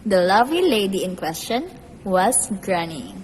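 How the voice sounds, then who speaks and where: A woman's voice: medium pitch, very animated, moderate pace, lightly acted. A woman narrating a fairy tale as a storyteller in a scripted radio play. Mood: expressive. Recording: long reverb, faint noise.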